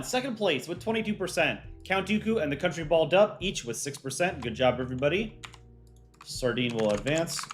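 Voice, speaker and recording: A man's voice: mid-range voice, a bit bright. A man hosting an art contest on a livestream, reading out viewer chat and answering it offhand in casual talk.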